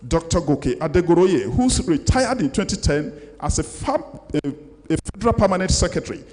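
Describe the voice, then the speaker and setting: An older Nigerian man delivers a formal lecture in a big, reverberant hall. His voice sits a little high for a man, and he speaks in a deliberate, emphatic way, often repeating a phrase as he reflects. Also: darkish tone. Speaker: guest lecturer. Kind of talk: formal public lecture, reading and commenting. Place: large lecture hall, indoors. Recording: echoey hall with long reverb.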